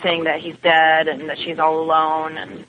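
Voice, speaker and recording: A woman's voice: sits low, completely flat delivery, normal speed, a little hiss or hum. Lo-fi woman chatting about TV. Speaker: podcast guest. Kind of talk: casual TV discussion. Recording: dull phone-like lo-fi connection.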